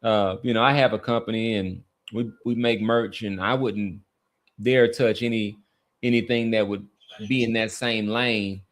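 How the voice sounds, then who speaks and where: A man's voice: on the low side, fairly even intonation, a little slow. A man hosting a casual sports talk podcast, speaking emphatically and often repeating his phrases for weight.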